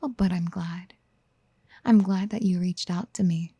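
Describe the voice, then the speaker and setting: A woman acting a doting, possessive motherly figure in a soft-spoken ASMR roleplay, soothing with breathy half-whispers. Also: fairly low pitch, unhurried, dry recording. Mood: gentle encouragement.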